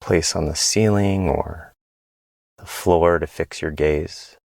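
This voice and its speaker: A man leading a guided body scan meditation in a gentle, unhurried way, pausing between phrases. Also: deep voice, steady tone, gravelly, no echo, studio quality.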